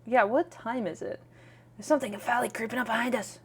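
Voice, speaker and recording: A woman's voice: fairly high pitch, quick pace, noisy background. A woman hosting a video game playthrough, reading the narration aloud and commenting in a casual, reactive, musing way. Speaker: gaming video host.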